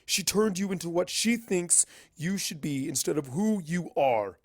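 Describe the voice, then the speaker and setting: A man's voice: on the high side, expressive, moderate pace, no room sound, clean studio sound, pitched above the performer's own voice. A man voicing an arrogant, irritable male pony wizard with a blunt, bossy manner in a story reading. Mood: scornful.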